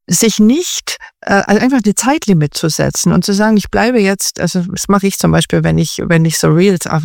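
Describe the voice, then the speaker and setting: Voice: mid-range voice, vocal fry, no room sound, clean studio sound. An interview guest answering in a chatty way, thinking aloud with many fillers and restarts. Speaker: guest expert on AI.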